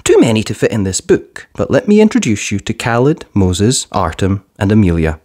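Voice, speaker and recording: Expressive, lively storybook reader. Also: medium pitch, moderate pace. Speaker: storyteller reading a picture book aloud. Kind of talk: read-aloud children's storybook narration.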